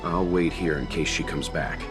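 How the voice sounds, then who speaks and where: A man voices a composed young skeptic in a video game, his voice sitting low. He speaks in a level, matter-of-fact way with dry humor. Background music runs under the scene. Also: steady tone, normal speed, lightly acted. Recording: game cutscene with music behind.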